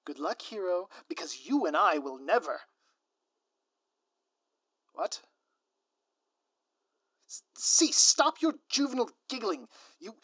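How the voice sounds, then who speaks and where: Performer as gloating ex-supervillain, theatrical, sardonic, grandiloquent, mid-pitched, dry close-mic audio roleplay. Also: bright, forceful tone, husky, no echo, exaggerated voice. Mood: mocking, scornful, then flustered, indignant. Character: theatrical gloating ex-supervillain spouse, smug, scheming, playfully flustered. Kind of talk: scripted audio roleplay monologue addressed to listener.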